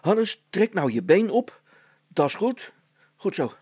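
A man's voice: sits high, very animated, slow pace, a little mellow, breathy edge, no room sound, voice pushed up, exaggerated voice. A man voicing a practical, irritable father in a story, plain-spoken and urgently directing others. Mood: directing, brisk. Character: Brilstra, practical, irritable, take-charge father, adult man.

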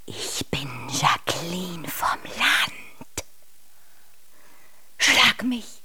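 An actress playing an odd, blunt country servant woman in a radio drama, speaking in a deliberate, repetitive way. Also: on the low side, sing-song, bright, forceful tone, whispered, heavily acted. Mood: flat, submissive. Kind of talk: German radio drama acting.

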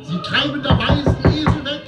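An actor playing a solemn, anguished reciter declaiming a biblical lament in a radio drama. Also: male voice, very high-pitched, animated, moderate pace, dull audio. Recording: echoey, distant, under loud music. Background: loud music.

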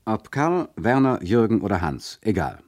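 An actor playing a wry, deadpan narrator who explains a radio play in a mock-instructive manner. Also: male voice, fairly low pitch, normal speed, no echo, clean studio sound. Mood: explanatory.

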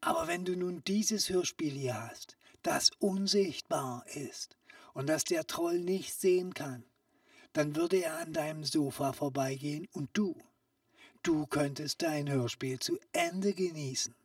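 A woman playing a sly, secretive street peddler in a comic audio play, coaxing in a hushed, conspiratorial way that often drops into whispers. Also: deep voice, lively intonation, measured pace, no room sound, clean studio sound, distant mic, heavily acted. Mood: persuasive.

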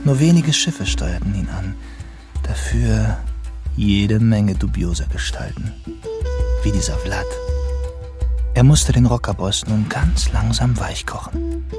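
An actor narrating a hard-boiled crime audio drama in a scripted storytelling style. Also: male voice, fairly low pitch, lively intonation, slow, pauses often. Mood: measured storytelling. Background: music.

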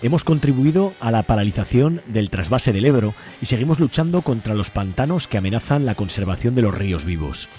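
Man, Spain Spanish accent, mid-range voice, scripted radio narration, co-host, quiet music underneath. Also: medium pitch, expressive, a little fast. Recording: music bed behind the voice.

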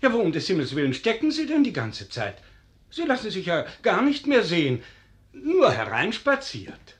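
A man's voice: high-pitched, wildly swooping pitch, moderate pace. An actor in a radio play voicing a hearty, fussy middle-aged schoolmaster father with a pompous, avuncular manner. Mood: jovial. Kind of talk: German radio play acting.

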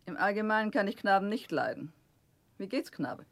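An actress playing a severe, haughty middle-aged spinster in a 1960s German radio drama, curt, stiff and disapproving. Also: female voice, mid-range voice, lively intonation, normal speed, dry recording, close to an everyday voice.

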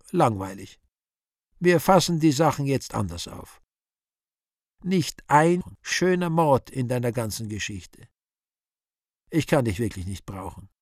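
An actor playing an urbane, sardonic Devil in a radio play, speaking with deliberate irony and mock courtesy. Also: male voice, a little high, no room sound, studio quality. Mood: bored, dismissive. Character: urbane, sardonic Devil interrogating dead sinners. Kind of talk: theatrical radio play monologue.

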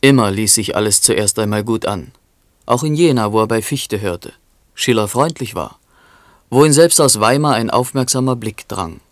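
A man's voice: lowish voice, expressive, normal speed, dry recording. A man playing a dry, knowing chronicler in a literary radio play, narrating tersely with faint irony. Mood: sober, ironic. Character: dry, knowing male chronicler of a poet's life.

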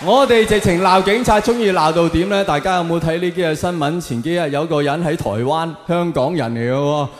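A male stand-up comedian with a Hong Kong Cantonese accent and a slightly high voice. He delivers his monologue sardonically and theatrically, prodding with rhetorical questions. The sound is echoey, with long hall reverb. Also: brisk, few pauses, a faint crowd, slight background noise.

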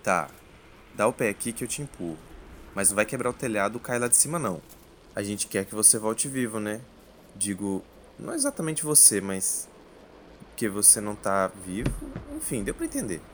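A man's voice: lowish voice, big pitch swings, normal speed, fairly bright tone, slight background noise. A man playing a wry, exasperated ordinary guy in an audio drama, with a Brazilian accent and rambling colloquial speech.

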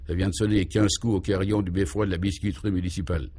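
A man's voice: low voice, level tone, fast, mellow, slight background noise, lightly acted. A man voicing an earnest young reporter figure in a radio serial, politely questioning and a little puzzled. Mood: puzzled, deferential. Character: earnest, deferential male reporter friend, puzzled.

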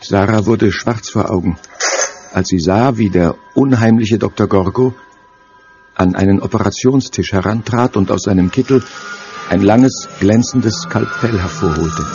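Low-voiced male horror narrator. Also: a little slow, a little mellow. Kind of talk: scripted horror story narration. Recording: dull audio play sound over music. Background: music.

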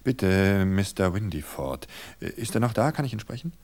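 A man voicing a frightened young woman who is forcing herself to sound calm. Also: lowish voice, normal speed. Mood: urgent. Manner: feigning calm, tense and pleading. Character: frightened young wife hiding her fear. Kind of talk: audiobook reading of a thriller.

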